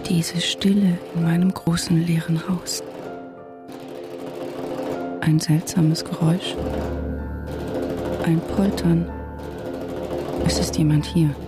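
Give a low female voice, a brooding wife in her late thirties. She delivers an inner monologue for a radio play in short, hushed, careful sentences, at times almost whispering, with music underneath. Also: level tone, takes it slowly, pauses often. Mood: tense, fearful. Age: 30s. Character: brooding wife of a vanished tycoon, 37, mother. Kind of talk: literary radio drama monologue, first person.

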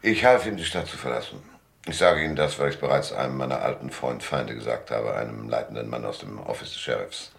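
An actor playing a wry, laconic private detective who narrates and talks in a German noir radio drama. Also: male voice, a bass voice, brisk, vocal fry, slightly rough, heavily acted. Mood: matter-of-fact. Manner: laconic, sardonic. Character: hard-boiled, wry male private detective and first-person narrator.

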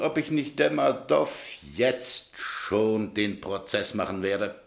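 An actor playing a proud, unbending middle-aged Tsarist governor in a German radio drama, speaking in a formal, emphatic way. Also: male voice, mid-range voice, gravelly, pushed and bright, roomy sound, heavily acted.

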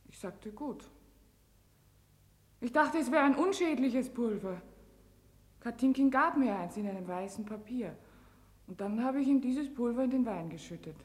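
Actress doing young accused woman in a radio drama, high pitched, tired but pleading her innocence, big echoey hall. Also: expressive, normal speed, long pauses, natural voice. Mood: weary, confessing. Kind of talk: German radio drama courtroom acting. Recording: long hall reverb.

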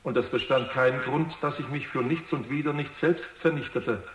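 An actor playing a new robot in a radio drama, polite and earnest, deferential and a little hesitant, with an echoing effect on the voice. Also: male voice, medium pitch, normal speed. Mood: earnest, reasoning. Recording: echoey, roomy radio drama production.

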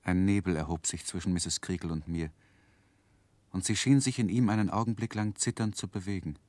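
An actor playing a grown man who soberly recalls his childhood, narrating a 1960s radio play in a measured, reflective way. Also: sits low, fairly even intonation, a little mellow, dry recording, natural voice. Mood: wistful, reflective.

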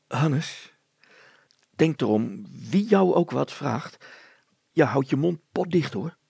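A man voicing a proud, earnest village blacksmith and father in a storybook, gruff and emphatic. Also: on the high side, expressive, slow, darkish tone, no room sound, pitched above the performer's own voice, exaggerated voice. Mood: anxious, urgent.

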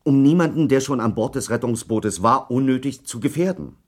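An actor in a German radio documentary drama playing a defensive British ship's officer testifying at an inquiry, answering firmly, formally and in clipped phrases with some hesitation. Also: male voice, medium pitch, brisk, a little mellow, lightly acted. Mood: controlled, formal.

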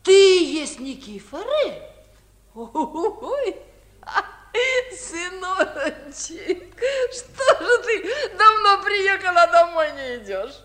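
A woman's voice: very high voice, sing-song, drawn-out words, a bit bright, heavily acted. An actress playing an old village grandmother who scolds, laments and dotes with fussy exclamations, in 1950s radio comedy style. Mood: doting, fussing. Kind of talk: Soviet 1950s radio musical comedy acting. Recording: 1950s Soviet radio recording.

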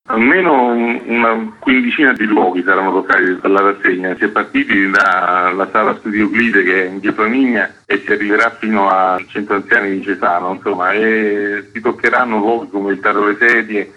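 A man with a fairly low voice talks as a curator in a radio interview. He explains things in long, articulate, flowing sentences. The audio is dull and slightly lo-fi. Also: steady tone, fairly quick, few pauses, bright, forceful tone. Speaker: artistic director and festival curator, interview guest.

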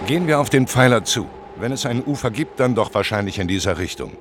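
A man playing a thoughtful judge in a radio crime drama, reasoning things out in a measured way. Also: mid-range voice, lively intonation, close to an everyday voice. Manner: reasoned, deliberate. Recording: noisy outdoor ambience behind voice.

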